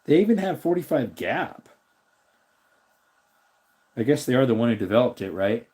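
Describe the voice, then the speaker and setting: A man hosting a casual live stream, answering viewer comments and thinking aloud as he looks things up. His voice is slightly raspy. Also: medium pitch, big pitch swings, normal speed, dark tone. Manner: rambling, thinks aloud while looking things up.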